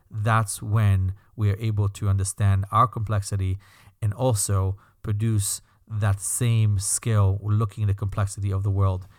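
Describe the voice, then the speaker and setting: A man with a slight Belgian accent, a rabbi hosting his own podcast, speaking in a calm, teaching way and building his points through rhetorical lists. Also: lowish voice, no room sound, studio quality. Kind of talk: reflective podcast monologue.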